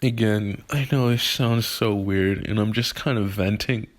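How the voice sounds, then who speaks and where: A man of twenty-one talks to camera in a halting, emotional way, often restarting his sentences as he vents about growing up. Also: on the low side, fairly even intonation. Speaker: vlogger. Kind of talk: personal venting monologue.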